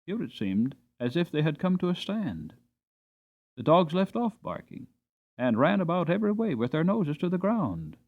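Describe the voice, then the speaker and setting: A man narrating a storybook dramatically, with expressive, deliberate phrasing. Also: fairly high pitch, narrow, tinny audio. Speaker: audiobook narrator.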